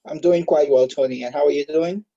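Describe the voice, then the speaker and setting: A man's voice: on the high side, brisk, dark tone, muffled recording. A man in his thirties from Cameroon, a guest in an online interview, speaking hesitantly with many filler sounds. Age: 30s. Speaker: guest, solar industry expert.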